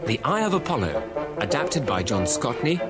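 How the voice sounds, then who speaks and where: A man's voice: on the high side, big pitch swings, normal speed. A man announcing radio drama credits in a formal scripted style. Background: music.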